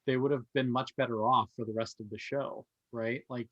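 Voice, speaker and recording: Guy on a podcast giving opinions, casual chat, middle pitch, really dry sound. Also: fairly even intonation, moderate pace, a bit bright, dry recording, muffled recording. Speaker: podcast host. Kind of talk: casual TV review discussion.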